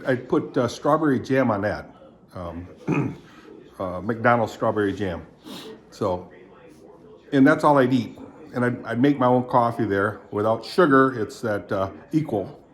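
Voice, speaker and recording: A man in his seventies, born in Indonesia, talking in a rambling, halting way, full of fillers and frequent throat clearing. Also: medium pitch. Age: 70s. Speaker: vlogger. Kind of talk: rambling casual monologue.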